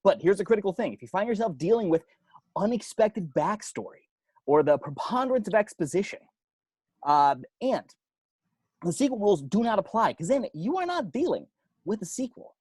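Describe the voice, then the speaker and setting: A man's voice: sits high, big pitch swings, moderate pace, a little mellow, husky, no room sound, full character voice. A man playing a dead young film geek who explains horror rules on a homemade video, emphatic and stop-and-start, in an amateur table read. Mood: earnest, ominous.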